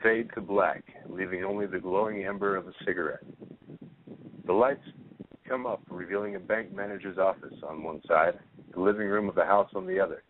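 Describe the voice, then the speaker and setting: A man with a fairly low voice reads stage directions as scripted narration. The audio is narrow and tinny, like a phone line. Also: fairly even intonation, brisk, a little hiss or hum.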